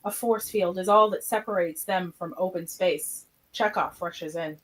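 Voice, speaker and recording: A woman's voice: on the low side, normal speed, heavy background noise. A woman reading scripted stage directions as the narrator of an amateur radio play.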